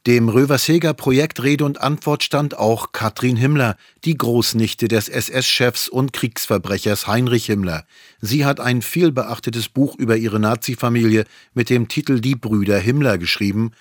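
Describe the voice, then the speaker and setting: A man reading a scripted news report voice-over in an even, formal manner. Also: mid-range voice, moderate pace, no room sound, studio quality. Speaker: news narrator.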